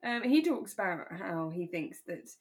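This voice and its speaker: A woman's voice: fairly low pitch, expressive, moderate pace, a little mellow. A British woman co-hosting a podcast, chatting casually and conversationally about a radio drama, with a giggly streak. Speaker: podcast co-host.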